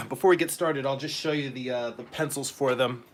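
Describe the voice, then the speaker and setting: A man's voice: medium pitch, moderate pace, noisy background. A man who works as a comic artist, chatting casually and a bit ramblingly as he hosts a live stream. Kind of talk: casual live-stream chat while demonstrating.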